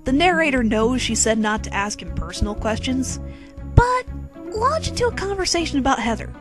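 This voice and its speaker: Casting: female narrator, highish and very expressive, tongue-in-cheek dramatic reading of comic fan fiction. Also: moderate pace. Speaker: narrator and reader. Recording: quirky music behind.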